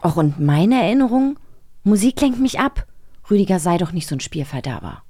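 A woman's voice: fairly low pitch, very animated, a little fast, warm tone, dry recording. A woman voicing a playful vampire girl in an audio drama, coaxing and teasing in a sing-song way. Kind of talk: acted audio drama scene reading.

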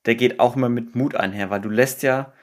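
A man's voice: mid-range voice, steady tone, fairly quick. A man hosting a conversational interview, putting questions and thoughts to a guest. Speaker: podcast host.

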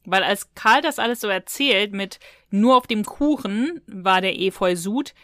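A woman's voice: medium pitch, measured pace, fairly bright tone, no room sound, studio quality. A woman co-hosting a podcast, chatting casually and retelling things in a colloquial, rambling way. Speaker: podcast co-host. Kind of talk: casual podcast chat, reviewing audio dramas.

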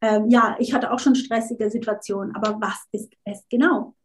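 A woman's voice: fairly high pitch, fairly even intonation, moderate pace. A woman interview guest, a coach, talking casually, often restarting words and slipping in English phrases. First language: not German, unsure of her German.

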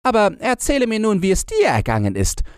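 A man's voice: high-pitched, wildly swooping pitch, brisk, clear, resonant tone, dry recording, clean studio sound, voice pushed up. A man voicing a brash, cheeky young robber girl in a fairy-tale audiobook, blunt, teasing and bossy. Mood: blunt, gossipy. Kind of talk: storybook character voice in an audiobook.

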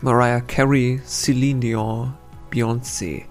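A man's voice: medium pitch, flat delivery, slow, soft background music. A man hosting a casual quiz podcast, reading out riddles and wandering into chatty, self-correcting asides.

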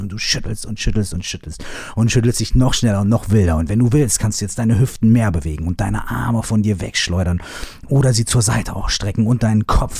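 A man with a very deep, husky voice talks a listener through a body-shaking exercise. His instructions repeat in a soft, half-whispered way, casual enough to slip in a curse. The sound is dry and close, with no room around it. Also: no room sound. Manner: repetitive spoken instructions with casual swearing. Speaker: movement exercise guide. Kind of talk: guided shaking meditation.

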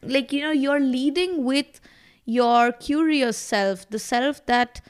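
A woman's voice: sits high, slow pace, clean tone. A woman in her thirties whose first language is Bangla, talking in a reflective, passionate way with many fillers as a podcast guest. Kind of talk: casual conversation.

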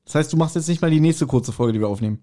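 Ein Mann, der als Podcast-Host locker und gesprächig plaudert, scherzhaft und selbstironisch. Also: male voice, fairly high pitch, rapid-fire, dark tone. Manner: chatty, self-mocking, jokey. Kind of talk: casual, joking podcast chat.

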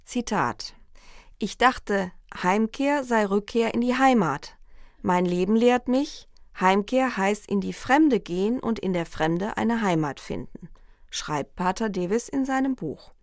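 A woman interviewing an author, asking measured, formal questions and reading a quote from his book. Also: mid-range voice, animated, dry recording, studio quality.

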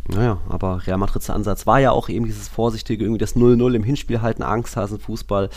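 A man hosting a football podcast, talking casually in a rambling, excitable fan's manner. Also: on the low side, fast.

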